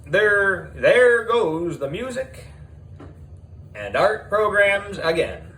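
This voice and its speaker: Man voicing a moaning, high-pitched woman teacher. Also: big pitch swings, very slow, a bit bright, roomy sound, slight background noise, heavily acted, poor audio. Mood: dismayed, moaning.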